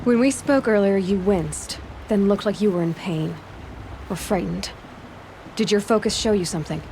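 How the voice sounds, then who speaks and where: A woman's voice: mid-range voice, normal speed, darkish tone, close to an everyday voice, poor audio. A determined young outcast hunter, voiced by a woman who asks direct, probing questions. Her voice sits in the middle range. Game ambience runs steadily underneath. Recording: game audio with ambient noise.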